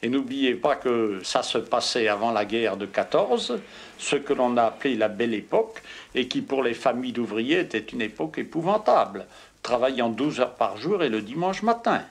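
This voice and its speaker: A man in his seventies, a native Breton speaker, giving measured, formal interview answers. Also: medium pitch, sing-song, husky. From Brittany. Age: 70s. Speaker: interviewee, retired print worker.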